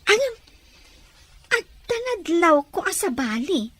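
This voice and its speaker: A woman's voice: extremely high pitch, very animated, takes it slowly, fairly bright tone, dry recording, full character voice. An actress with a Filipino accent playing a young woman in an emotional drama, hot-tempered but remorseful and wounded in love. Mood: regretful. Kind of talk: emotional audio drama acting.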